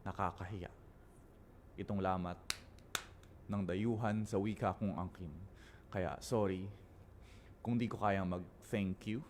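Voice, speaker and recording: Low-voiced Filipino man performing spoken word. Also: fairly even intonation, a little slow, pauses often, a little mellow, slight background noise. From Philippines. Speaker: guest spoken word poet.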